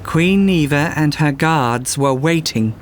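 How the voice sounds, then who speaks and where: A storyteller giving an expressive scripted reading of a children's fairytale, with music underneath. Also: medium pitch, slow pace, background noise.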